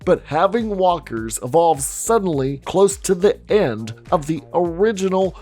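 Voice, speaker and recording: Man, hoarse, raspy, sardonic scripted commentary, blunt, faint music underneath. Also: high voice, sing-song, unhurried, darkish tone. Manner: blunt, sardonic, swears for emphasis. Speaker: video essay host.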